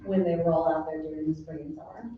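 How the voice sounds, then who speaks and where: A woman's voice: deep voice, steady tone, quick pace. A woman explaining things informally as a participant in a community meeting. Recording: very echoey, muffled, distant, faint noise.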